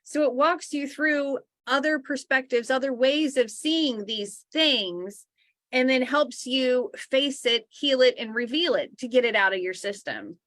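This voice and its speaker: A woman co-hosting a spiritual livestream, chatting warmly about books, gushing and laughing often and easily sidetracked. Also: sits high, measured pace, dry recording, studio quality. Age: adult sister of co-host. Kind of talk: casual chat, reviewing books.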